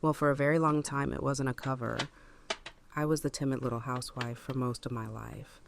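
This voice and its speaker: Woman acting a gravelly, hardened housewife. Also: a bass voice, fairly even intonation, a little fast, noisy background. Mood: firm, guarded. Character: hardened, self-controlled housewife, a woman with steely resolve. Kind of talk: fan audio drama acting.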